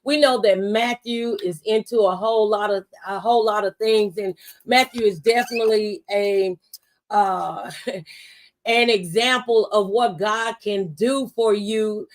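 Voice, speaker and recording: A woman's voice: mid-range voice, fairly even intonation, measured pace. A woman hosting a faith-based talk show, speaking in an encouraging, testifying way with preacher-like exhortation.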